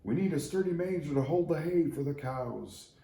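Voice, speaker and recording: A man's voice: fairly high pitch, expressive, normal speed, gravelly, mellow, pitched above the performer's own voice. A man voicing a kindly little old farmer in a children's storybook, folksy, hearty and plain-spoken. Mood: brisk, hearty. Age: older. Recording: roomy echo.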